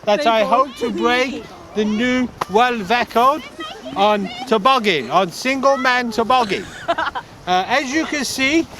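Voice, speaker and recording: A man playing a giggly, boastful Norwegian would-be tobogganist, speaking broken English in a put-on foreign accent outdoors. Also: extremely high pitch. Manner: boastful and earnest. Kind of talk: improvised comedy sketch. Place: outdoors on a snowy slope. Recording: noisy outdoor recording, traffic nearby.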